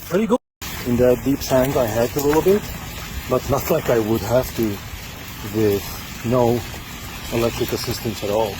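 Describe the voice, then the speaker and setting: A man with a heavy Czech accent filming himself outdoors on a camera mic, talking through a product test in a casual vlog, with a halting delivery full of fillers and restarts. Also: medium pitch. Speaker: product tester. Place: outdoors on trails and beach. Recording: outdoor noise.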